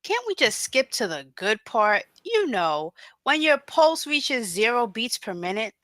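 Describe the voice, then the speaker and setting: A woman's voice: a little high, big pitch swings, a little slow, sharp tone, no room sound, full character voice. A woman at a screenplay table read playing a captive action hero, defiant, foul-mouthed and mockingly sarcastic. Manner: taunting, sarcastic, profane.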